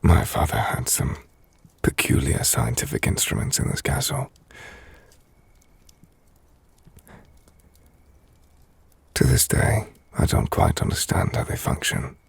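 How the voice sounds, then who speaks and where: A man playing a brooding, gentle, melancholy vampire-blooded castle lord in an intimate ASMR audio roleplay, hushed and husky, speaking slowly in measured, formal phrases. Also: extremely low pitch, big pitch swings, no echo, full character voice. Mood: quietly reassuring. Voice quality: gravelly, husky, breathy.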